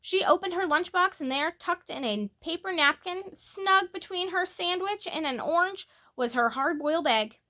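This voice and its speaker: A woman reading a children's book aloud as a storyteller, in an expressive, animated way that leans on key words. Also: very high-pitched, normal speed, hardly any pauses.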